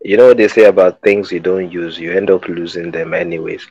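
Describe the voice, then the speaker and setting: A man from Nigeria, a podcast co-host, telling stories in a relaxed, jokey way. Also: low voice, brisk, slightly rough, no echo. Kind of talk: casual podcast chat. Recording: dull, dry lo-fi sound.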